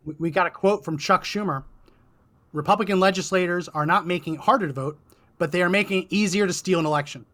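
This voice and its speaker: Bright-voiced man co-hosting, losing his voice. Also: sits high, fast. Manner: animated, laughs often. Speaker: co-host. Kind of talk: casual political commentary.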